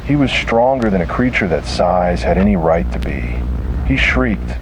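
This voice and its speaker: A man delivering scripted first-person horror narration in a grave, dramatic manner with deliberate pauses. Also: sits low, animated, background noise.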